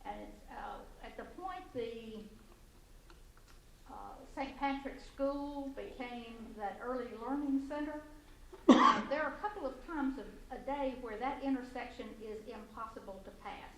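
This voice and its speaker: A woman with a loud voice giving public comment at a city council meeting, halting and full of fillers and restarts. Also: a little high. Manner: halting, many fillers and restarts, light laughs. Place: community center meeting room. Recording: echoey, distant, faint room noise.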